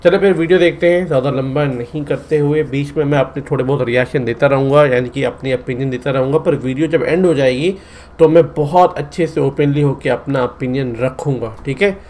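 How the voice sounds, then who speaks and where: This is an earnest man from India with a Delhi Hindi accent, chatting casually to his viewers as he sets up a reaction video. His pitch sits in the ordinary middle for a man, and his manner runs from friendly to pleading. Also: a little fast, a little mellow, a little hiss or hum.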